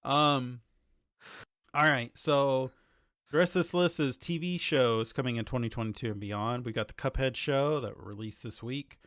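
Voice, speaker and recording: Male podcast co-host, mid-pitched, informal and blunt, very dry studio-like sound. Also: normal speed, a bit bright, clean tone, no echo. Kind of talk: casual chat about games and shows.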